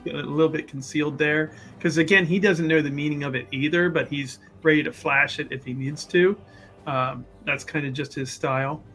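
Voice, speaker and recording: Man, fairly high voice, casual tabletop player, describing his character, faint music behind. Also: fairly even intonation, a little fast, a bit bright.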